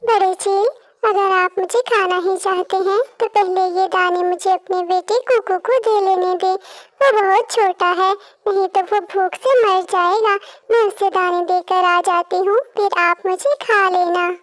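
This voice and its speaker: A woman's voice: extremely high pitch, fairly even intonation, measured pace, no room sound. A woman voicing small birds, a devoted mother and her little chick, in a children's cartoon. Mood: pleading. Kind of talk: Hindi children's cartoon voice acting.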